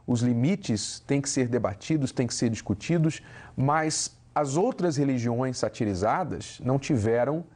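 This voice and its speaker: A man's voice: medium pitch, lively intonation, measured pace, a little mellow. Polite male talk show host. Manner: polite, questioning, moderating.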